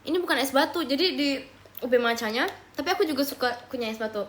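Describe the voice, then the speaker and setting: Young woman, from Papua, casual livestream chat, drops in English phrases, a little high-pitched. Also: quick pace. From Papua, Indonesia. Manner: mixes English phrases into her talk; relaxed, chatty, answers questions as she reads them. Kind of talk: casual live chat reading viewer comments.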